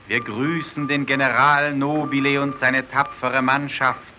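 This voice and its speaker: An actor playing a solemn Italian radio announcer in a radio play, proclaiming a formal, measured broadcast to stranded explorers. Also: male voice, medium pitch, lightly acted, rough recording.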